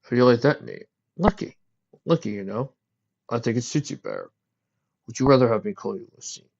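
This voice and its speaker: A man voicing a kindly male pony traveler, tender, soft-spoken and playful, for a story. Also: medium pitch, animated, a little fast, creaky, highs cut off. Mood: friendly, playful.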